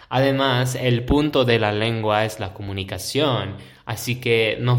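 Unhurried American male podcast host, non-native speaker, thoughtful and conversational. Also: lowish voice. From United States. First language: English. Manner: reflective, explains points in a measured, conversational way. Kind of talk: solo educational podcast.

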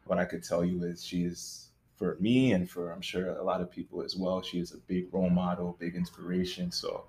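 A man co-hosting a faith podcast, interviewing a guest in a relaxed, easygoing way. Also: deep voice, a little fast. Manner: laid-back, many affirming filler sounds. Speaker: podcast co-host.

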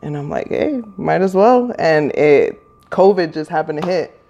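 A woman in her thirties from Norwalk, California, chatting as a guest, full of fillers and quick to laugh. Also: low-pitched, lively intonation, vocal fry, dark tone, highs cut off. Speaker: guest, small business owner. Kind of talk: casual interview.

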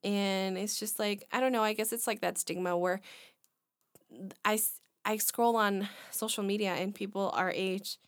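Halting woman in her twenties, dry sound. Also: medium pitch, steady tone, dry recording. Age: 20s. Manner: rambling, halting, many restarts and fillers. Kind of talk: casual chat.

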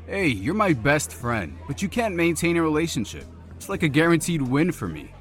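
A man's voice: a little high, big pitch swings, moderate pace, soft background music. A man playing a blunt, teasing young best friend in an audio drama, sarcastic in manner. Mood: smug teasing. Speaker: voice actor.

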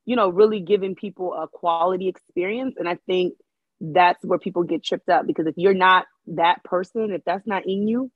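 A woman born in the Dominican Republic and raised in Maryland speaks earnestly on a podcast, pausing on fillers as she thinks. Her voice sits a little low. It comes through thin and tinny, like a phone line, with a dry, close sound. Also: no room sound. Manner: earnest, thoughtful, hesitant with fillers. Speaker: guest, Airbnb host. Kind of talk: casual podcast chat.

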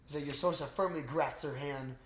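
A man's voice: on the high side, fast, reverberant, over a noisy crowd. A man hosting a podcast, casually recapping a movie in slangy, profane bro talk. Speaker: podcast host.

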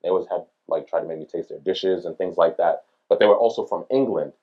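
Deep fast-talking Brooklyn guy. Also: phone-quality sound. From Brooklyn, New York. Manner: loose, slangy, rambling with restarts. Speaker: podcast host. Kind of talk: casual interview chat.

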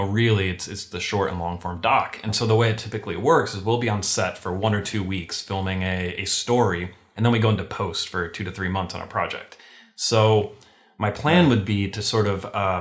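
A man who grew up in Venezuela, a podcast guest talking casually over a video call in a relaxed, rambling way. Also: on the low side. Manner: relaxed, rambling with fillers and restarts.

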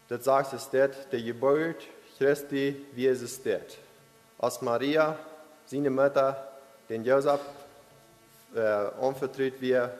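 Halting man preaching in a reverberant hall. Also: medium pitch. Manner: halting, with many restarts and hesitations. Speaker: lay preacher. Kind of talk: Christmas sermon reading scripture.

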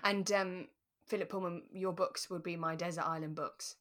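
A British woman co-hosting a relaxed chat podcast, telling stories with acted-out asides. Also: lowish voice, normal speed. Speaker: podcast co-host. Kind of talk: relaxed chat about a radio drama.

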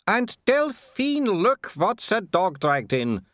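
Man as a high, gleefully taunting werewolf villain. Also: huge pitch swings, slow, fairly bright tone, no room sound, studio quality, full character voice. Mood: mocking. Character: cheerful, mocking young male werewolf villain.

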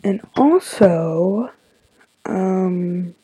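Teenage girl podcaster, stuffy and tired, rambling, husky, dry close sound. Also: medium pitch, extremely slow, no echo, low-quality recording. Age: teens. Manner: rambling, many fillers, soft and confiding. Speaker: podcast host. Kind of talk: casual solo podcast talk.

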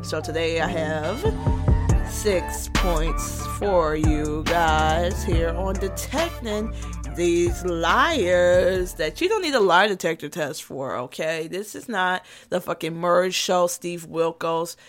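A woman vlogger with a lisp, ranting in a sarcastic, sassy way as she gives comic advice. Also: fairly low pitch. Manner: sassy, sarcastic, heated rants. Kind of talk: comedic advice vlog.

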